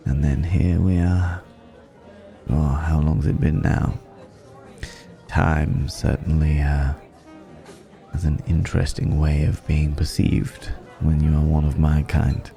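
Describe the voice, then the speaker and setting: An ageless, indulgent vampire gentleman, acted by a man, speaks in a very deep, unhurried, mellow voice. He is courtly and teasing, lingering over his words in an intimate ASMR roleplay. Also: gravelly, full character voice. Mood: flirtatious, wistful. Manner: leisurely, courtly, teasing, with hesitant pauses and hums.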